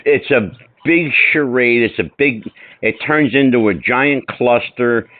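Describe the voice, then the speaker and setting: A man's voice: mid-range voice, unhurried. A sixty-year-old man hosting a podcast, chatting on the phone in an informal, emphatic, buddy-like way full of slang. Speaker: podcast host. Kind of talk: casual phone chat. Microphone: telephone. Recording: dry, tinny phone call.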